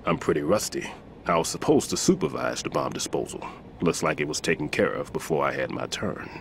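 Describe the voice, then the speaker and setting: A man's voice: extremely low pitch, animated, fairly quick, gravelly, slight background noise, exaggerated voice. An actor playing an older male retired bomb disposal expert, rueful and self-deprecating. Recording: game cutscene with music.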